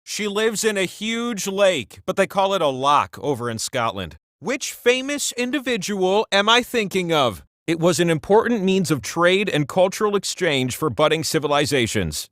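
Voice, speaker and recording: A giant all-knowing game-show wheel, chummy and full of itself. The voice sits fairly high and swings widely in pitch through theatrical quiz patter, recorded dry and close for a video game. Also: male voice, fairly bright tone, no room sound. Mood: playful, teasing. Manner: playful, self-important, conversational asides.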